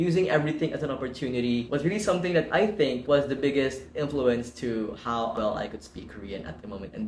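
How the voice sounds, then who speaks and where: A man's voice: mid-range voice, slight background noise. Man rambling casually in an echoey room. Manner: rambling, lots of "like" fillers. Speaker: YouTuber. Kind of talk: casual vlog talk to camera.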